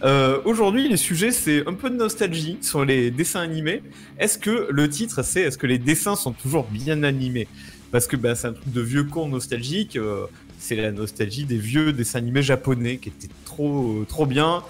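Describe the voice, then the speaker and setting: A man's voice: medium pitch, lively intonation, normal speed. A man co-hosting a casual live show, informal and a little hesitant, often repeating words. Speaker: show co-host.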